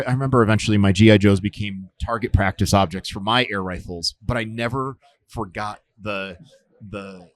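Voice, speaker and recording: A man in his forties, a survival instructor, telling stories in a relaxed interview, with lots of fillers and restarts. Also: lowish voice. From United States. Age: 40s. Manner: rambling, many fillers and restarts. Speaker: podcast guest, survival instructor. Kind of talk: casual storytelling interview.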